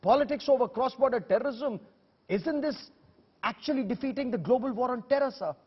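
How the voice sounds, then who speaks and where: A man's voice: extremely high pitch, fairly quick, husky, big-room sound, highs cut off. A man with an Indian accent hosting a broadcast debate, putting emphatic, combative questions and repeating phrases for effect. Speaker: news debate host.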